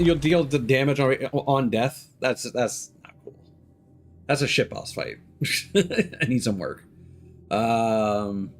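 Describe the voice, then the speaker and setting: A man streaming a video game, reacting casually and swearing now and then, with many fillers and restarts. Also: medium pitch. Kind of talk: live gameplay commentary.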